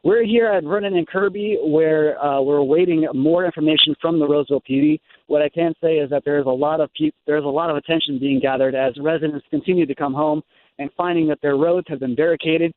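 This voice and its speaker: An American man reporting breaking news live from the scene for radio, speaking in a halting, ad-libbed way and correcting himself as he goes. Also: on the high side, steady tone, no echo. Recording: thin phone-like live radio line.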